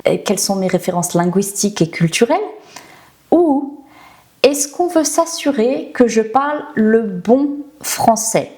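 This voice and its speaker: A woman's voice: on the high side, mellow. A middle-aged woman from France with a French accent, teaching the language and thinking aloud through rhetorical questions. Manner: reflective, asks herself rhetorical questions. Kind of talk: explanatory talk to camera.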